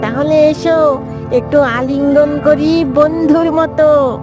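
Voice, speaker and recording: A woman voicing a sly, greedy fox in a children's cartoon, wheedling and scheming in a flattering way. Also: high voice, slow pace, music in the background. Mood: falsely sweet.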